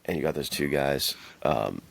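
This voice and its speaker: Male podcast co-host, extremely deep voice with heavy fry, relaxed slangy conversational delivery. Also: moderate pace. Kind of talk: casual chat about films and games.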